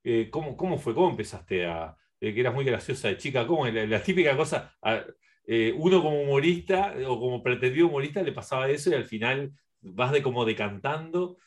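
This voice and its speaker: A man's voice: mid-range voice, lively intonation, fairly quick. A man with a Uruguayan accent hosting a casual radio interview, rambling his way around his questions.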